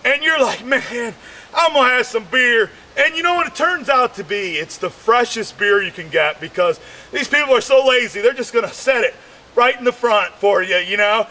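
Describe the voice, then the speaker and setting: High, bright-voiced rambling comedian. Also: male voice, expressive, moderate pace. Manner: rambling, shouts punchlines, keeps saying "man" and "you know". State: losing his voice. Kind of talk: stand-up comedy monologue.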